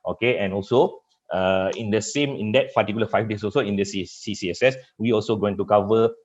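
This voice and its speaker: Dull, muffled webinar audio carries a deep-voiced man with a Malaysian accent, a native Malay speaker. He is a trainer walking through a live software demonstration. His delivery is halting, padded with repeated filler phrases. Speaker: cybersecurity trainer. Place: online webinar with screen share.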